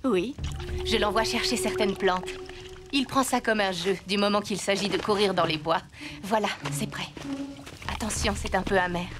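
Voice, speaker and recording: A woman voicing a gentle, caring mother and herbal healer in a children's cartoon, with careful, clear phrasing. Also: mid-range voice, moderate pace, natural voice. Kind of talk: French-dubbed children's cartoon acting.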